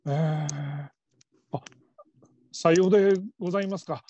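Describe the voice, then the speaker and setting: A man's voice: sits high, measured pace, a little mellow. A man playing a flustered, middle-aged father who works as a call-centre operator, stammering in stiffly formal politeness in a radio drama. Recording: dull lo-fi audio.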